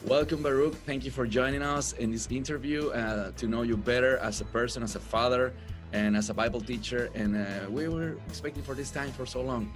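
A man's voice: medium pitch, moderate pace, music in the background. A man hosting an interview and interpreting it, who often repeats words and restarts while he translates.

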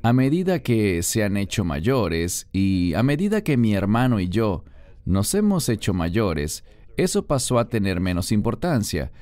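A man's voice: on the low side, very animated, unhurried, a little mellow, dry recording. A man being interviewed as a guest, telling his personal story in an earnest, storytelling way, heard as a Spanish voice-over.

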